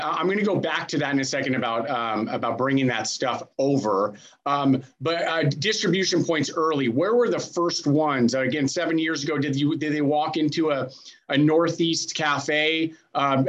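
A man's voice: a little high, normal speed, fairly bright tone, muffled recording. A man hosting a podcast interview, asking questions in a rambling, joking way with lots of fillers. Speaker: podcast host.

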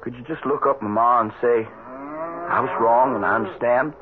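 An actor playing an earnest, respectful young cowhand in a radio western, with a folksy, hesitant manner. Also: male voice, medium pitch, normal speed, narrow, tinny audio, a crowd in the background, lightly acted. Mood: earnest, hopeful. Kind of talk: old-time radio western acting. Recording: noisy, band-limited old radio recording.

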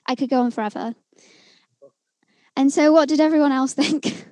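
A woman's voice: sits high, moderate pace, a little mellow, no echo. A young woman hosting a casual music review, chatting eagerly and reading from her notes. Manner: chatty, enthusiastic, reads from notes on her phone. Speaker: podcast co-host reviewing songs.

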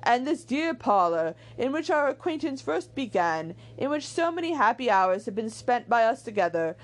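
A woman voicing an ardent, impulsive young gentleman suitor from a period novel, eager and declamatory in formal language. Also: on the high side, big pitch swings, normal speed. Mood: fervent.

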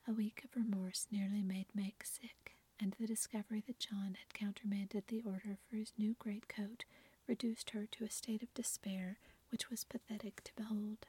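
Whispering woman narrator, breathy, flat, close and dry. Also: mid-range voice, moderate pace, dry recording. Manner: soft unvoiced whisper. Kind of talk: whispered ASMR story reading.

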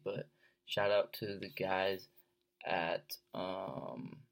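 A man's voice: on the low side, gravelly. A young man from with a head cold and sore throat, hosting his own podcast in a rambling, laid-back way full of fillers. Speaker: podcast host. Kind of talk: casual solo podcast chat.

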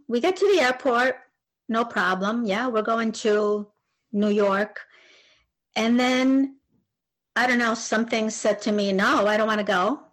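A woman's voice: medium pitch, a bit bright, poor audio. A woman in her sixties from Argentina, a native Spanish speaker, telling her story in an interview as a retired translator.

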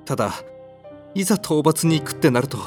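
A man playing a timid, cowardly young mortal hero in a comedic audio drama, polite but whiny, flustered and quick to protest. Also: fairly high pitch, animated, a little mellow, faint music behind, lightly acted. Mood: downcast.

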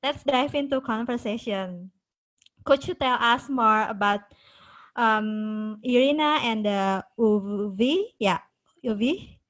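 A woman hosting a casual interview, asking questions in a hesitant, halting way. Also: fairly high pitch, fairly bright tone, rough recording. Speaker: interview host.

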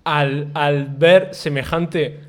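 A young man from Spain co-hosting a casual podcast. His voice sits a little high, and he tells a story in a rambling, self-mocking way, often laughing in the middle of a sentence. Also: fairly even intonation, extremely slow. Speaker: podcast co-host.

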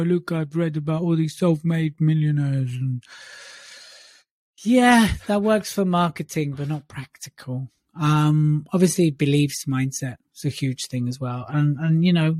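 A man's voice: fairly high pitch, darkish tone, no echo, clean studio sound. A man co-hosting a podcast, teasing and joking in casual chat, with frequent "um"s and "uh"s. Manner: joking and teasing, many filler ums. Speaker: podcast co-host.

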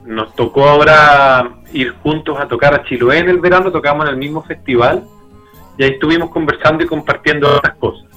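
A Chilean man with a forward, bright voice that sits a little high speaks as a guest in a casual interview. He is colloquial and candid, pausing to reflect as he goes. Faint music plays behind him. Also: dull audio. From Santiago, Chile.

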